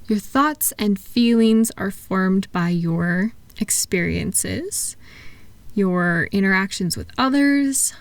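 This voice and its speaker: A woman playing a kind, patient therapist in a relaxation roleplay audio, speaking softly and gently in an unhurried, reassuring way. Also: medium pitch, dry recording. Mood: patient, explaining. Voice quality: soft-spoken, gentle. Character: kind, patient female thought-calming therapist soothing a client. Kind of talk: soft-spoken roleplay relaxation audio for sleep.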